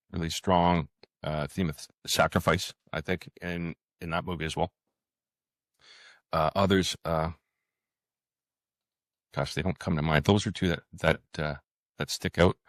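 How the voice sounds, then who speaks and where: A man with a low, deep voice chats as a guest in an interview. He speaks haltingly, pausing and doubling back on his words. The sound is close and dry. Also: steady tone, dry recording. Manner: hesitant, halting, with frequent pauses and repeated words. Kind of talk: casual interview conversation.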